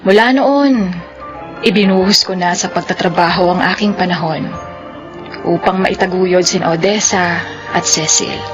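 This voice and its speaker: A Filipino woman playing a gentle, hardworking widowed mother in a radio drama. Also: on the low side, a little slow, long pauses, music in the background, natural voice. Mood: wistful.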